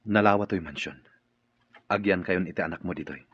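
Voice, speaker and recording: An actor playing a kindly, protective master of a mansion in a radio drama, measured and courteous. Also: male voice, deep voice, fairly bright tone, breathy edge. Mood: protective, resolute. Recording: dull, lo-fi audio.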